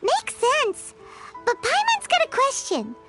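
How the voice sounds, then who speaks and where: Tiny game fairy, extremely high squeaky childlike voice, bubbly, curious, swooping intonation, music behind. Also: female voice, takes it slowly, full character voice. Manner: excitable, exclamatory, speaks of self in third person. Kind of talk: animated game character voice acting.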